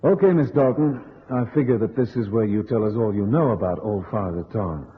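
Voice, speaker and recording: A man's voice: lowish voice, a little fast, slight background noise, natural voice. An actor playing a wisecracking, blunt male sleuth in an old radio detective drama, slangy, sardonic and pushy. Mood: sardonic, probing. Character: wisecracking, blunt tough-guy safecracker and sleuth. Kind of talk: golden-age radio detective acting. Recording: dull old radio recording.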